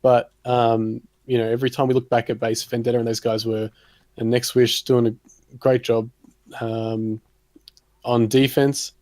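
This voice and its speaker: A man's voice: medium pitch, little pitch movement, measured pace, darkish tone, no echo. A man giving a casual, upbeat recap of a team. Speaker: co-commentator.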